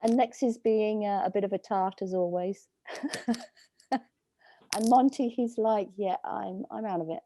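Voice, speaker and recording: A woman dog trainer giving slow, soothing instructions over a video call, with a calming voice. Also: medium pitch, a little hiss or hum. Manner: soft, slow, soothing coaching. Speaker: dog trainer leading a workshop.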